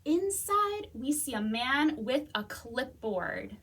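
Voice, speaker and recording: A woman's voice: high voice, big pitch swings, slow, a bit bright, slightly rough. A woman hosting a children's storytime, reading aloud expressively and speaking to young listeners. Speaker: library storytime host.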